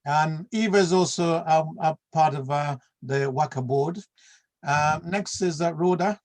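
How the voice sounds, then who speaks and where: A man's voice: a little high, a little slow. A man hosting an online meeting over a video call, calling on each person by name in an easygoing way. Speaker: meeting host.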